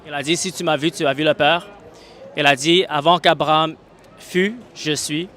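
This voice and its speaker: A man with a fairly high, sharp and forceful voice, a native English speaker. He argues insistently in an informal religious street debate, often restating his point. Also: steady tone, moderate pace. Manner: insistent, repeats himself, addresses opponent familiarly.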